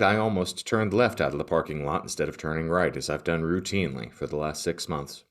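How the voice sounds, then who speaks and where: Male podcast host, very low voice, expressive swings, mock-serious comedic reading with deadpan drama.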